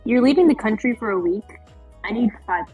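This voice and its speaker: A woman reading the part of a brisk, exasperated personal assistant in an amateur table read, with a husky voice. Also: a little high, animated, warm tone, faint music behind.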